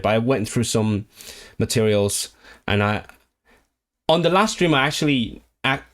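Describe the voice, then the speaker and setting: A man at a home streaming desk, chatting to his viewers in a loose, rambling way. He keeps restarting his sentences and filling pauses, and he sounds eager about his subject. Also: mid-range voice. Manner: rambling, many restarts and fillers; enthusiastic about languages. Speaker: language livestreamer. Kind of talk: casual livestream chat, reading viewer comments.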